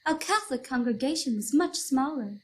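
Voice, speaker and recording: High-voiced, genteel country woman played by an actress. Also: expressive, brisk. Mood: gracious. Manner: genteel, exclaiming. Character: Margaret, polite, wide-eyed country woman of 1913. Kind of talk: nostalgic radio play acting.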